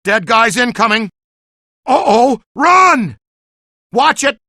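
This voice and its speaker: Streetwise game ghost hunter, bright forceful voice, very varied pitch, dry studio sound. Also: male voice, big pitch swings, takes it slowly, no echo, heavily acted. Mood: urgent, alarmed. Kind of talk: video game character dialogue.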